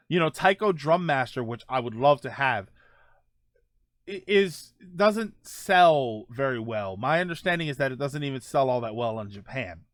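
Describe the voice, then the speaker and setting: A man's voice: a little high, a bit bright, no echo, studio quality. A man hosting a casual gaming livestream, talking in a playful, rambling, conversational way as he answers viewers. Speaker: host of a gaming show and livestreamer. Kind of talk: casual livestream chat about video games, answering viewer comments.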